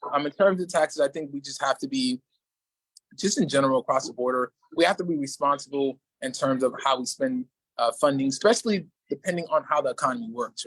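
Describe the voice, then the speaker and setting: A man's voice: no echo, poor audio. A man running for mayor, answering interview questions in an earnest, repetitive way, often restarting his sentences, speaking from a small shop over a livestream. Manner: earnest, repetitive, salesman-like pitch with many restarts. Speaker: mayoral candidate. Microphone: livestream video call.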